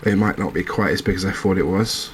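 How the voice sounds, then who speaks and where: A man giving soft-spoken, unhurried ASMR commentary as he plays a game, musing aloud to his viewers. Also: sits low, a little hiss or hum. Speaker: game streamer, host.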